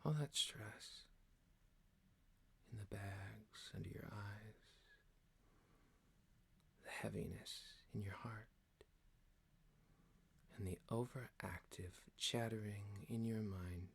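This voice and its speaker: A man leading an ASMR guided meditation in a breathy, mostly whispered voice, soothing and hushed with lingering pauses. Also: lowish voice, steady tone, slight background noise.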